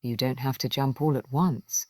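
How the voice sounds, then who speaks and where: A woman voicing a big, wise male storybook bear in a gentle, patient, reassuring manner. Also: a bass voice, fairly even intonation, moderate pace, no room sound, studio quality.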